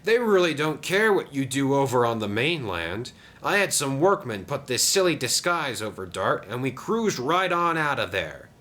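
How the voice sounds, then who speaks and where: A man's voice: medium pitch, big pitch swings, normal speed, few pauses, a bit bright, voice pushed up. A man voicing a smug, chummy male diesel engine crony in a children's story.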